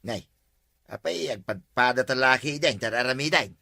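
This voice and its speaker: A man's voice: mid-range voice, moderate pace, gravelly, bright, forceful tone. A man with an Ilocano accent playing a henpecked, complaining village husband in a comedy drama.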